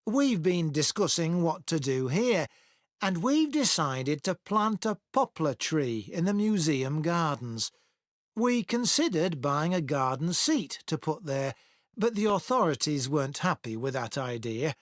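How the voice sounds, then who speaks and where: A man acting a cordial association chairman, giving a clear, measured scripted speech for a listening test. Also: on the high side, very animated, no echo, clean studio sound. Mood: cordial, upbeat. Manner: clear, measured public address. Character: cordial male chairman of a town association addressing members.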